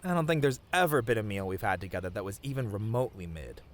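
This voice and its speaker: Man acting sweet bashful boyfriend, lively pitch. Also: lightly acted. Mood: appreciative, happy. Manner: tender, flustered, halting, with soft chuckles. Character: sweet, bashful, rambling boyfriend on a picnic date. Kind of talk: romantic ASMR roleplay acting.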